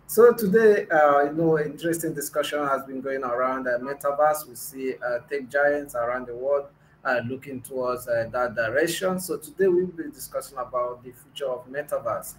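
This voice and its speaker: A man's voice: medium pitch, slight background noise, rough recording. A man hosting an online interview, speaking with frequent hesitations and fillers. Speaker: interview host.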